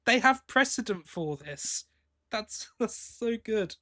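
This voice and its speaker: Man, high voice, casual podcast co-host, enthusiastic, opinionated, stop-start phrasing. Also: lively intonation, slow, pushed and bright. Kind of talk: casual chat reviewing audio drama.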